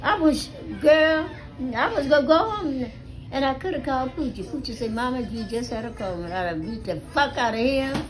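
An elderly American woman telling stories casually to family, with a salty, animated manner, recorded on a phone at home. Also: sits high, normal speed. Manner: animated, salty, acts out dialogue with swearing. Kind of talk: casual storytelling among family. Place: small living room at home. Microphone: phone held near her.

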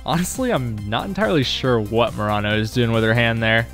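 A man's voice: medium pitch, fairly quick, a bit bright, soft background music. A young man narrating a scripted vlog about his own work, with wry, self-deprecating humour. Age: young adult. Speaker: video creator and game developer.

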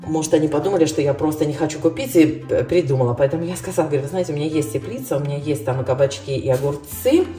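Middle-aged woman vlogger, chatty, low and dark voice, caught from across a roomy kitchen. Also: expressive, very fast, hardly any pauses, distant mic. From Russian-speaking, living in Ireland. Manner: chatty, addresses viewers as girls. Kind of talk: casual grocery haul chat. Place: home kitchen. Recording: roomy, from off-mic, soft music.

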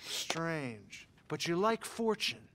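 An actor playing an eager, fussy middle-aged writer in a western video game, speaking earnestly and politely with a pleading manner. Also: male voice, on the high side, big pitch swings, takes it slowly, creaky, pushed and bright, full character voice. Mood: curious, eager. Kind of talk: cinematic game dialogue acting.

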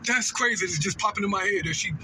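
A man's voice: high-pitched, quick pace. A middle-aged man, telling a personal story casually and animatedly, with slangy asides and repeated phrases. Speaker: storytelling vlogger. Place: inside a moving car. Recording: vehicle road noise.

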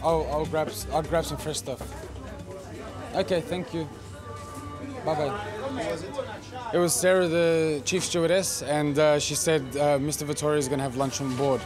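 A man's voice: fairly high pitch, fairly even intonation, normal speed, long pauses. A man in his thirties, a yacht chef, chatting casually while shopping in a supermarket. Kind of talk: casual chat. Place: inside a supermarket. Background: music, shop background.

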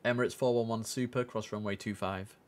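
A man's voice: on the low side, fairly even intonation, brisk. A young man muttering casual gaming commentary to himself, switching into clipped air traffic controller phrases. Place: indoor home streaming setup. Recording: noisy room with keyboard clicks.

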